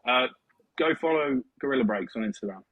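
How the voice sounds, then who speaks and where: A man's voice: medium pitch, lively intonation, a little fast, phone-quality sound, background noise. A man with an English accent chatting casually in short, understated replies. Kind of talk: casual banter chat.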